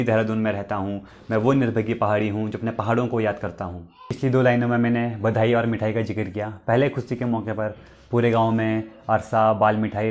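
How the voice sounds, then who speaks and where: A man from the Uttarakhand hills, hosting his own channel, reciting a nostalgic poem and repeating each line before finishing it, with spoken asides between verses. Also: medium pitch. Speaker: poet and channel host.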